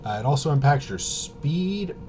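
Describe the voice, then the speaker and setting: A man casually explaining game rules unscripted, halting and rambling as he thinks aloud. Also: very animated. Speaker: tabletop RPG reviewer and explainer. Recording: clearly noisy with low rumble.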